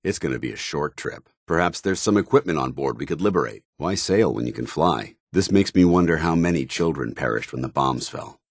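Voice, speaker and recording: An actor playing a stern, disciplined armored soldier in a video game, speaking in formal, clipped military phrases. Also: male voice, very deep voice, lively intonation, brisk, hardly any pauses, breathy edge, no echo, studio quality, exaggerated voice. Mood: wary. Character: stern, dutiful armored soldier paladin, grown man, devout and disciplined. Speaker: companion character. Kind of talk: scripted video game dialogue.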